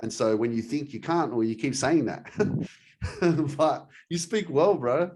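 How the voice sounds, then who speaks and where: A man's voice: mid-range voice, expressive, measured pace, noisy background. A man hosting a motivational podcast, enthusiastic and encouraging, talking with many fillers. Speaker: podcast host. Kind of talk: energetic podcast interview.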